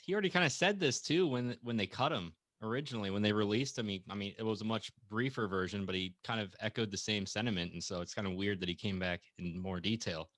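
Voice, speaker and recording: A man's voice: fairly low pitch, fast, hardly any pauses, fairly bright tone, no room sound. A man speaking casually in a sports talk discussion.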